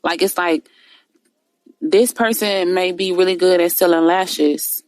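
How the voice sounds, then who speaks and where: A woman from Texas with a mixed Texas accent, talking in a relaxed, conversational way. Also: fairly low pitch, a little slow, no room sound. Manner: relaxed, conversational, says "you see what I'm saying". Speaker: caller. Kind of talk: casual chat.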